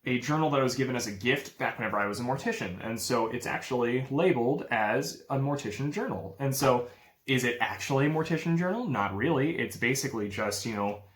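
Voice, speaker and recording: A man's voice: medium pitch, animated, a little fast. A young man talking casually to camera in a vlog, upbeat and rambling, with many fillers. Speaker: real estate agent vlogger.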